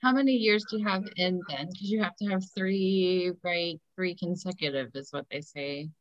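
A woman's voice: lowish voice, normal speed, dry recording, lo-fi sound. A woman hosting a conversational interview, asking questions and candidly sharing her own experience. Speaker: podcast host, interviewer.

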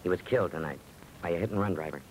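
An actor playing a weary, plain-spoken, sympathetic police detective in a matter-of-fact radio drama style. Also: male voice, sits low, very fast, a little mellow, natural voice. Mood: sombre. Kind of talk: 1940s radio acting. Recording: faintly noisy old radio recording.

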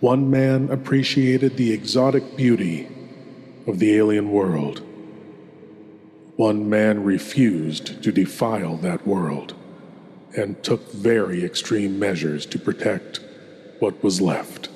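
A man's voice: on the low side, slow pace, a little mellow. A man hosting a horror radio show, delivering a portentous scripted monologue. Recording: faint background noise.

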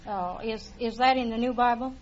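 Lady on a quiz show, kinda high voice, unsure, muffled old radio w loud noise. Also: steady tone, a little fast. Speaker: quiz contestant. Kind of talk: casual answers on a quiz show.

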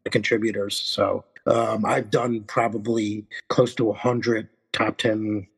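A man's voice: fairly low pitch, little pitch movement, a little slow, creaky, husky, rough recording. A man in his forties from Connecticut with a New York accent, a podcast guest who rambles casually about his film work. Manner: rambling, many fillers and restarts.

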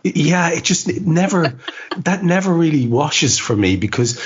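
A man hosting a football podcast in a reflective, halting, self-questioning way, often circling back over his words. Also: fairly high pitch, lively intonation, slightly rough. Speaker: podcast host. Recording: dull lo-fi podcast audio.

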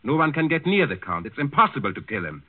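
An actor playing a commanding, suspicious Nazi governor in 1940s radio drama, curt and impatient. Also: male voice, a little high, very animated, fast talker. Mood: calculating. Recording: tinny old 1940s radio recording.

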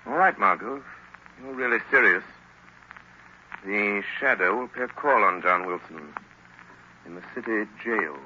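Muffled old radio, suave male gentleman sleuth, quick, sharp, articulate. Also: on the low side. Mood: serious. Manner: articulate, explanatory. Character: suave, knowledgeable gentleman amateur crime investigator. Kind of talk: 1930s radio drama acting. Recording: noisy muffled 1930s radio recording.

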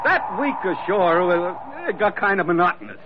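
An American actor playing a breezy, loyal first mate with a folksy manner in a classic radio adventure. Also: male voice, sits high, expressive, moderate pace. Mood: breezy, hearty. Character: breezy, loyal male first mate aboard a sailing ship. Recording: dull, noisy vintage radio recording.

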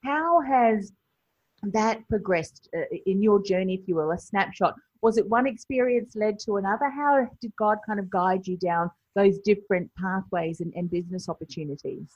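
A woman's voice: mid-range voice, normal speed, husky, no room sound, muffled recording. A woman hosting a podcast interview from her home office, affirming her guest often and asking open questions. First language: English. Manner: warm affirmations, frequent backchannel. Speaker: podcast host.

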